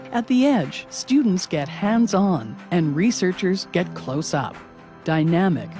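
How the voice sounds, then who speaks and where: A woman narrates a promotional film in a fairly low voice. The delivery is polished and scripted, and music plays clearly underneath her words.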